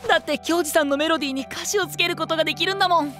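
A man's voice: very high-pitched, very fast, pushed and bright, soft background music. An actor playing a cheerful, childlike young male band vocalist in an anime voice drama, with a bouncy, eager manner. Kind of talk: Japanese anime voice drama acting.

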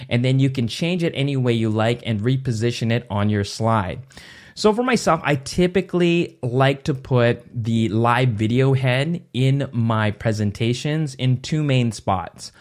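Tutorial host, male, 30s, mid-pitched, easygoing step-by-step explainer, close boom mic in a home studio. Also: unhurried. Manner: casual step-by-step explaining with restarts. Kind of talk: screen-recorded software tutorial. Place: small home studio indoors. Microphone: boom mic on arm near face.

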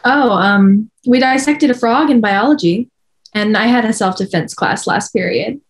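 A woman's voice: a little high, normal speed, natural voice. A young woman playing a casual, hesitant teenage schoolgirl at a script read over a video call. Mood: friendly, hesitant. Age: young adult. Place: bedroom.

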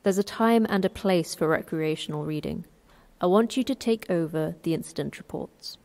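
Casting: woman as stern female manager of a secret facility, lowish voice, curt, commanding, horror podcast. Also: moderate pace, darkish tone, lightly acted. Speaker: actor in sci-fi horror audio drama. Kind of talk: audio drama acting.

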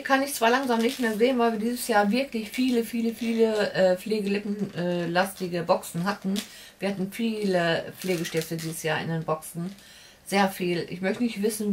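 A woman's voice: mid-range voice, recorded from a distance. A woman in her forties chatting casually through a beauty box unboxing at home, rambling with lots of fillers and restarts. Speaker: beauty vlogger. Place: home room with shelves and warm lamps.

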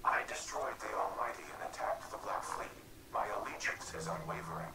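A man voicing an ancient, cold warmind AI in a robot frame, speaking in a measured, formal, whispery way for a video game cutscene. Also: on the low side, huge pitch swings, full character voice. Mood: calm. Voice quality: gravelly, whispery machine voice. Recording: noisy game capture.

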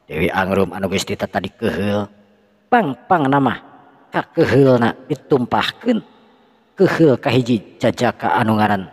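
A man narrating a folk tale with a Sundanese accent, lively and dramatic. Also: medium pitch, slightly rough, long reverb tail. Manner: lively, with shouted sound effects. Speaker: storyteller.

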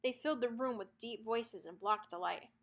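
A woman narrating a children's storybook aloud in an expressive read-aloud style. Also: on the high side, normal speed, creaky.

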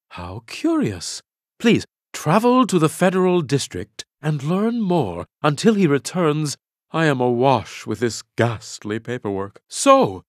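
Pompous theatrical alien jedi master for a game, highish male voice, super animated, flowery grand wording. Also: dry recording, clean studio sound. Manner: florid, grandiose, theatrical diction. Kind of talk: video game character voice clips.

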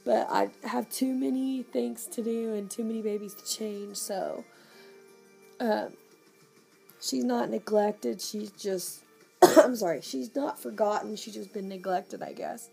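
A woman with a hoarse, coughing voice, chatting casually to camera in a nursery at home and cooing softly over a baby doll. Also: mid-range voice, measured pace, poor audio. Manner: gushing, coos softly to the doll. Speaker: reborn doll collector vlogger. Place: home nursery room, indoors. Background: Christmas music playing.

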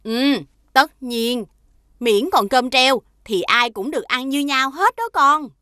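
Middle-aged female vendor, kindly, obliging, cartoon, bright, fairly high, dry sound. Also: expressive, slow pace, no room sound, exaggerated voice. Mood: friendly. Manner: obliging, patiently explaining. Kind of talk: children's cartoon voice acting.

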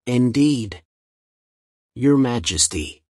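A woman voicing a deep, sly jackal. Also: expressive, drawn-out words, mellow, no echo, studio quality, exaggerated voice. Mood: scheming. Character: cunning male jackal, scheming and persuasive. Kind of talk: storybook reading.

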